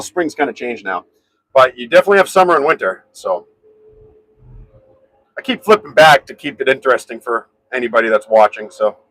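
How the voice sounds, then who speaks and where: Loud-voiced man chatting on a live stream. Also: medium pitch, dry recording, rough recording. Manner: rambling, chatty, reads and answers viewer comments. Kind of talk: casual live stream chat. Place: home garage.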